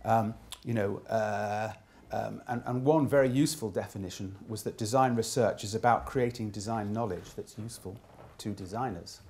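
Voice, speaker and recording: Low-voiced man giving a keynote summary. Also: normal speed, a little mellow. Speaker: keynote speaker summing up a design conference. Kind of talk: keynote speech, semi-scripted summing-up. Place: indoor room with plain wall.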